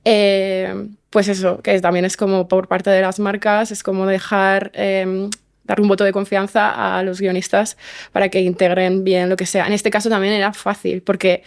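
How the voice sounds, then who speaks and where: A woman's voice: mid-range voice, flat delivery, normal speed. A woman chatting casually in an interview, rephrasing often and checking for agreement as she goes. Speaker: guest, fiction podcast writer.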